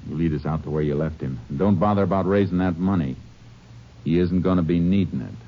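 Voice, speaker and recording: An actor playing a stern, shrewd Texas Ranger in an American radio drama, speaking in terse, authoritative phrases. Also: male voice, sits low, fast talker. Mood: firm, commanding. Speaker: lead actor and narrator. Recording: muffled early-1950s radio recording with music.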